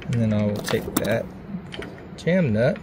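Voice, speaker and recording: A man's voice: on the low side, animated, measured pace, background noise. A man giving a casual, plainspoken hands-on how-to narration as he works on a project. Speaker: DIY video creator.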